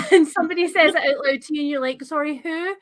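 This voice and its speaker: A woman hosting a casual live book chat, talking in an enthusiastic, chatty way with animated reactions. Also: sits high, fast. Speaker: host of a book channel livestream.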